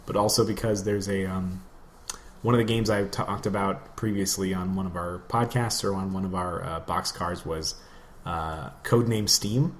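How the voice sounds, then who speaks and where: A man's voice: low voice, steady tone, moderate pace. Wry man chatting, with background noise. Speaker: podcast co-host. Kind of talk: casual chat about video games.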